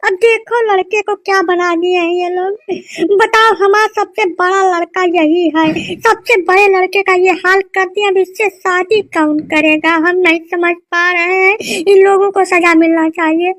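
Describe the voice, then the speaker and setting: Woman doing a super high sharp cartoon village mom, wailing complaints, dry sound. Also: fairly even intonation, a little slow, no room sound. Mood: tearful pleading. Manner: plaintive, complaining. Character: aggrieved village mother pleading for her eldest son. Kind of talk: comedy cartoon dialogue.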